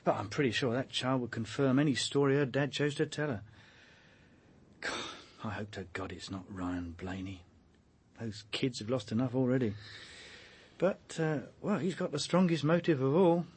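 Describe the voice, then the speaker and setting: An actor playing a chatty, wry police detective in a naturalistic radio drama, colloquial and a little hesitant. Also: male voice, medium pitch, normal speed, husky, close to an everyday voice. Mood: thoughtful, concerned.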